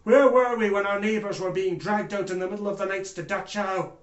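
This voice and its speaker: A man performing a theatrical monologue as an anguished, remorseful German former judge testifying in court with grave, deliberate oratory. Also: on the high side, highs cut off, natural voice. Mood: impassioned, accusing. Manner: grave, deliberate oratory with swells from hushed to loud. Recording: echoey room.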